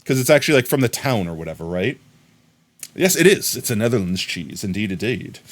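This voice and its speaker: An American man chatting casually on a live stream, rambling and bursting into excited shouts of thanks to viewers. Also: lowish voice, a little fast. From United States. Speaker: livestreamer.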